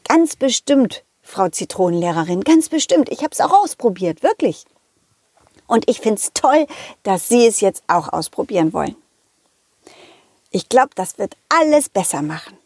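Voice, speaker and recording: A woman's voice: medium pitch, sing-song, measured pace, darkish tone, husky, dry recording, full character voice. A woman voicing a cheerful, trusting male dog who chattily tells children's stories in a childlike, direct way. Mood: cheerful, encouraging. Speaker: storytelling puppet character, host. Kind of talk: lively children's audio story narration.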